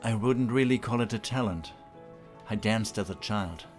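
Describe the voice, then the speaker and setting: A man playing a gentle young man in an audio drama, speaking softly and quietly. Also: lowish voice, moderate pace, soft background music, close to an everyday voice.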